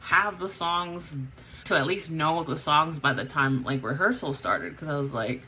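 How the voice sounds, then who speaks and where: A woman's voice: sits low, normal speed, pushed and bright, slightly rough, rough recording. A woman who sings in a band, chatting casually as she gets ready, rambling with lots of fillers and self-corrections. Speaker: vlogger and band singer. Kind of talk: casual chat while getting ready.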